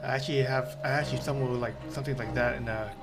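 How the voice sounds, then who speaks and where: A man's voice: mid-range voice, steady tone, fast, over music. A man giving casual, rambling commentary while playing a game, loose and full of fillers. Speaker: streamer.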